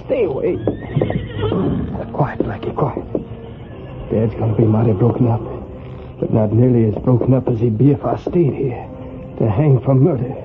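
Actor as a troubled young cowboy, hissy old radio. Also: male voice, mid-range voice, natural voice. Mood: troubled, resigned. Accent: American. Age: young adult. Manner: halting, earnest, folksy. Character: troubled, earnest twenty-year-old runaway banker's son. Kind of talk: 1940s radio western acting. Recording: muffled, hissy vintage radio recording.

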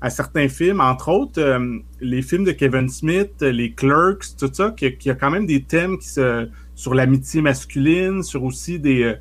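A man's voice: medium pitch, moderate pace, few pauses. A man, co-hosting a podcast and asking searching questions full of "euh". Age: 40s. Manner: searching, filler-heavy questions. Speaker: podcast co-host. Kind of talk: casual podcast interview.

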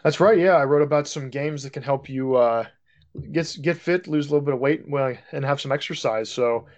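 A man's voice: mid-range voice, brisk, a little mellow. A man chatting informally as a podcast contributor, explaining things with frequent fillers. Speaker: podcast contributor, tech writer. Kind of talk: casual explanatory chat.

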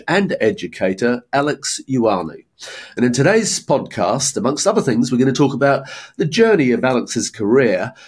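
A man hosting a podcast, who reads a prepared intro and then chats with his guest in a measured, earnest way. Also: medium pitch, darkish tone, breathy edge. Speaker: podcast host. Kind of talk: scripted podcast intro, then casual interview.

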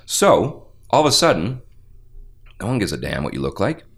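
A young man with a deep bass-baritone voice, telling stories animatedly as a guest in a casual podcast interview. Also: moderate pace, slightly rough. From Canada. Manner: animated storyteller, acts out quotes. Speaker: voice actor guest.

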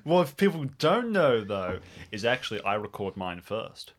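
Guy on a podcast teasing his cohosts, casual, very lively intonation. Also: fairly low pitch, moderate pace. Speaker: podcast co-host and player.

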